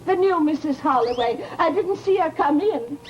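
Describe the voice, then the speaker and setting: A woman's voice: sits high, lively intonation, moderate pace, lo-fi sound. An American actress playing a chatty, nosy, easily offended landlady in an old-time radio horror drama, with folksy, exclamatory speech. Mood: gossipy, amazed. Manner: gossipy, folksy, exclamatory, huffy when slighted. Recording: noisy old radio recording.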